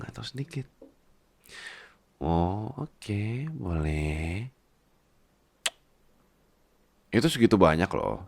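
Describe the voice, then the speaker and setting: A man's voice: low-pitched, vocal fry, a little mellow, no room sound, heavily acted. A man playing a doting, teasing husband, a babyish big boss, in an intimate ASMR roleplay, coaxing playfully and dropping into whispers. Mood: playful, affectionate.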